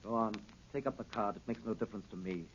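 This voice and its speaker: Fast, blustering, surly villain played by a man. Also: lowish voice, close to an everyday voice. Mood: sullen. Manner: brusque, threatening. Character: blustering, menacing male villain, surly and defiant. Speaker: actor in a radio drama. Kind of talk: 1930s radio acting. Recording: noisy, dull 1930s radio recording.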